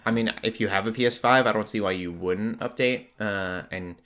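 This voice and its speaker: Low-pitched man chatting casually about gaming. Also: normal speed, fairly bright tone. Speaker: podcast co-host. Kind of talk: casual gaming news chat.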